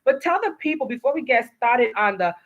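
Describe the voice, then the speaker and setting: A woman's voice: lively intonation, fairly quick, a bit bright. A woman with a Haitian American accent hosting a casual studio interview, chatty and prone to correcting herself mid-sentence. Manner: chatty, stumbles over words and corrects herself. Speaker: show host. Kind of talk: casual interview, reading a guest bio. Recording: muffled low-fidelity studio sound.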